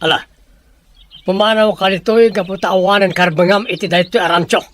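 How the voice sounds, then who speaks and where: A man's voice: high voice, steady tone, a little fast, fairly bright tone. A man playing a greedy, domineering uncle with a Filipino accent in an audio drama, dismissive and commanding. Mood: dismissive, stern. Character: greedy, domineering villainous uncle grabbing a ranch. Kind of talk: Ilocano radio-style audio drama acting.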